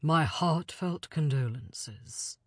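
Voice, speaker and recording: A woman voicing a grave, courteous older male envoy bearing sad news in a storybook reading, speaking in a hushed, formal way. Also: deep voice, expressive, very slow, breathy edge, no room sound, studio quality, exaggerated voice.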